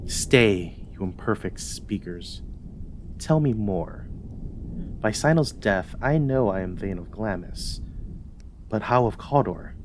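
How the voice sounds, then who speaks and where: Man acting ambitious brooding warlord, lowish voice, declamatory, Shakespearean audio drama, noisy ambience behind. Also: lively intonation, takes it slowly. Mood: commanding.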